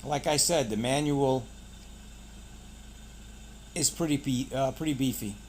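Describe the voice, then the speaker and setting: A man's voice: mid-range voice, unhurried, a little mellow, slight background noise. A man hosting a casual product unboxing, pointing things out in short, clipped phrases. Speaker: product reviewer and channel host.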